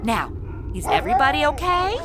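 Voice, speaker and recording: A woman's voice: high-pitched, sing-song, brisk, heavy background noise. A woman playing a scatterbrained, sassy young Mountie corporal in a comedy audio drama, flippant and given to exclaiming in modern slang. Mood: exasperated.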